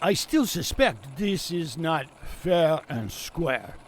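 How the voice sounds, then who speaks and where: Man as fussy sniffy colleague, high, very lively pitch, faint noise. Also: takes it slowly. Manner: prim, sniffy and sarcastic. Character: fussy prim male newsroom colleague.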